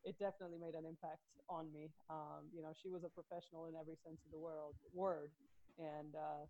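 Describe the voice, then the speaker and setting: A woman's voice: deep voice, a little fast, lo-fi sound. A woman from Sweden, in her thirties, talking casually about her soccer career as a podcast guest and laughing easily. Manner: relaxed, laughs often, chatty with fillers. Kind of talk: casual interview chat.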